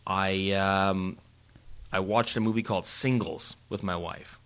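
A middle-aged man co-hosts a casual podcast from home. His voice sits a little low, and he jokes around and rambles, restarting his sentences often. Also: steady tone, unhurried, a bit bright, clear, resonant tone. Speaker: podcast co-host. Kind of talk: casual chat. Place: home, Germany.

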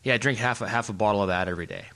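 A middle-aged man co-hosts a casual podcast from home. His voice sits a little low, and he jokes around and rambles, restarting his sentences often. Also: very fast, sharp tone. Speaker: podcast co-host. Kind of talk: casual chat. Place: home, Germany.

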